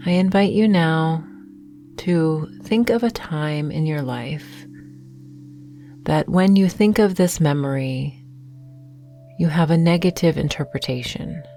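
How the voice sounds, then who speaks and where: Slow, low-pitched woman guiding meditation. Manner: soothing, gentle, unhurried with long pauses. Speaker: meditation guide. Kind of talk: guided meditation with affirmations. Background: soft meditation music with singing bowls.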